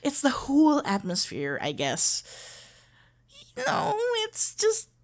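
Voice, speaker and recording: Woman acting a shy, slow-spoken lovestruck florist. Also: sits high, wildly swooping pitch, exaggerated voice. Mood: flustered. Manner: halting, stammering, awkwardly earnest. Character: shy, flustered, lovestruck florist best friend, female, tender. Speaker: audio roleplay performer. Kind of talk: intimate ASMR roleplay acting.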